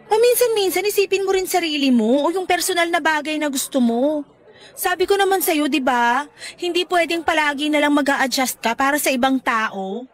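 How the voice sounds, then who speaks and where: A woman's voice: sits high, lively intonation, moderate pace. An actress playing a teasing, frank and protective young Filipino woman, the best friend in a radio drama. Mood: frank, scolding.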